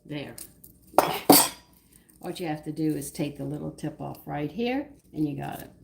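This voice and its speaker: A woman hosting a live cooking stream, hoarse from losing her voice, chatting homily to viewers as she walks through each step. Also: deep voice, lively intonation, normal speed, dark tone. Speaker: cooking show host. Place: home kitchen. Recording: noisy home kitchen live stream. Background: clinking dishes and cutlery.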